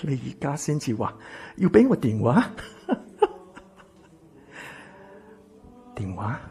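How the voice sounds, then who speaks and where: An actor playing a wounded, embittered rich man in a Cantonese radio drama, with a Hong Kong accent and a breathy, husky voice. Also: medium pitch, wildly swooping pitch, very slow, dark tone, heavily acted. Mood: hurt, desperate. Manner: intense, dramatic. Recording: music behind the voice.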